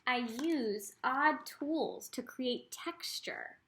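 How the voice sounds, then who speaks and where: Higher-voiced woman reading aloud to children. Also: extremely slow, a little hiss or hum. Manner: expressive reading, addresses young listeners directly. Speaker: art teacher reading a picture book aloud. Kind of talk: read-aloud storytelling for children with teaching asides.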